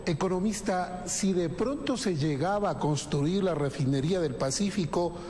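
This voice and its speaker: A man's voice: high voice, moderate pace, darkish tone. A man with a slightly raised voice asks an economist long questions, stumbling and restarting his phrases. His voice rings with a long reverb, and faint noise sits beneath it. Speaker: interviewer. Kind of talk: interview questions.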